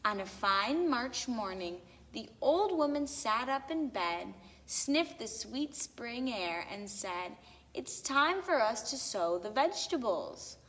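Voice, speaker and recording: A woman reading a children's story aloud with expressive, theatrical emphasis on lists and sound words. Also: a little high, slow. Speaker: storybook reader. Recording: long hall-like reverb.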